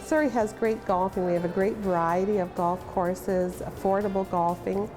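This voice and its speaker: A woman speaking for her city in a promotional interview, listing its features in a proud, measured way. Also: medium pitch, fairly even intonation. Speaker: local city representative interviewee. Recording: music bed behind the voice.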